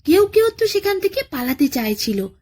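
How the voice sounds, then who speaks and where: A woman narrating a children's bedtime story in a dramatic, expressive storytelling manner. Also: very high-pitched, normal speed. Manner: dramatic, expressive, with rhetorical questions.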